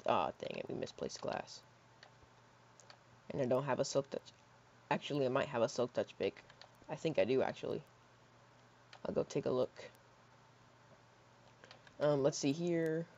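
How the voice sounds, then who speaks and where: A hoarse young man in his teens rambling casually while playing a building game, with lots of fillers and soft mutters. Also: mid-range voice, slight background noise. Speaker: gaming YouTuber. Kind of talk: casual gameplay commentary. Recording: slightly dull lo-fi mic.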